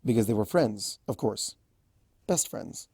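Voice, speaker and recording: A storyteller reading scripted fiction aloud as an expressive narrator. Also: medium pitch, unhurried, husky, dry recording.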